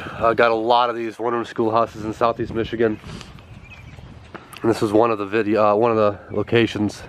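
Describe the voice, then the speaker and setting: A man with a stuffed-up, congested voice, casually vlogging outdoors at a rural schoolhouse and talking in halting bits full of pauses and fillers. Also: fairly low pitch, slight background noise. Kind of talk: casual walk-around vlog narration.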